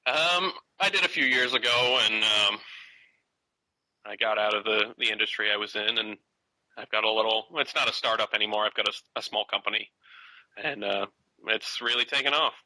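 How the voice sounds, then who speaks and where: A man's voice: on the low side, normal speed, pushed and bright, no room sound, low-quality recording. A man in his thirties calling in to a talk show, speaking hesitantly with frequent fillers. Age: 30s. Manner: hesitant, many fillers and restarts. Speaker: caller. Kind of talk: casual phone-in conversation.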